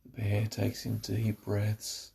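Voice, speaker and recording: A man narrating a scripted guided relaxation, giving slow, instructive phrases with pauses. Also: fairly low pitch, monotone, vocal fry, mellow.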